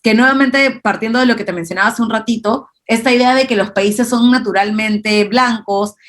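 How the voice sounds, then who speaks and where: A woman's voice: a little high, moderate pace, a bit bright. A Peruvian woman speaking Spanish with a Peruvian accent, explaining her ideas thoughtfully in a conversational discussion as a guest. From Peru. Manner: thoughtful, explanatory, with frequent tag questions.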